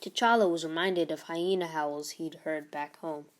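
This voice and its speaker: A woman narrating a children's book aloud in an expressive read-aloud style. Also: low voice, unhurried.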